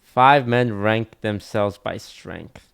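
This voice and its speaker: A man's voice: on the low side, slow pace, gravelly, fairly bright tone, smooth. A man streaming, reacting to a video with dry, blunt, teasing remarks. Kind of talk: casual live-stream commentary.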